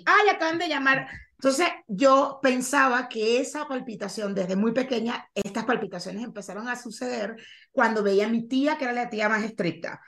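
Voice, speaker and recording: Animated Venezuelan woman in her forties. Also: a little high. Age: 40s. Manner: animated storyteller, acts out quoted lines. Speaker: guest. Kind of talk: casual interview chat. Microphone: video call.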